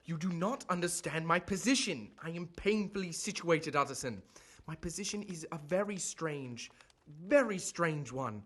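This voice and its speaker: A man acting in an audio drama as a genial but guarded Victorian gentleman doctor, speaking in formal, courteous, earnest phrases. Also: fairly high pitch, expressive, normal speed. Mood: tense, defensive.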